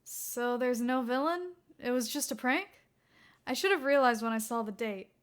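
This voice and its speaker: A woman's voice: on the high side, expressive, moderate pace, natural voice. A woman voicing a mild, unfazed teenage boy with a plain, accepting manner in a story reading. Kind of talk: fan fiction audiobook character voice.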